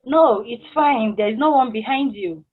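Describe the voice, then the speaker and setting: A woman playing a laid-back, candid fast-food worker in a stage play table read. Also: on the high side, husky. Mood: easygoing. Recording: tinny, phone-like call sound.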